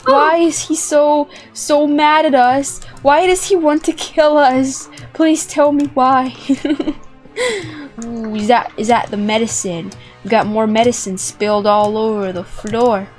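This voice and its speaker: A young woman giving playful, excitable, dramatic commentary as she plays a video game. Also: high-pitched, slow pace. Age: young adult. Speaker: gaming video host. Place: indoor room with plush toys. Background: music.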